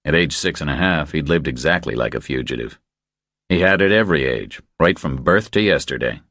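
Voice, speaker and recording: A man's voice: extremely low pitch, expressive, fairly quick, fairly bright tone, dry recording, clean studio sound. A man reading scripted thriller narration as an audiobook narrator.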